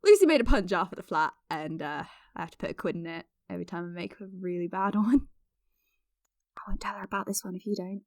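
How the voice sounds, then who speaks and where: A woman's voice: lowish voice, expressive, fast talker, a little mellow. A woman in her twenties with a British accent, playing a self-deprecating, awkward amateur podcaster in an audio drama, chatting in dry, hesitant asides. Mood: wry, confiding. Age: 20s.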